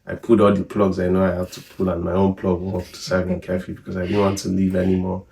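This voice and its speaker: A man in his thirties with a Nigerian accent, chatting in a relaxed, rambling way on a family vlog. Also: low-pitched, quick pace, gravelly. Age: 30s. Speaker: vlogger. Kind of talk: casual chat. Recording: echoey room from far off.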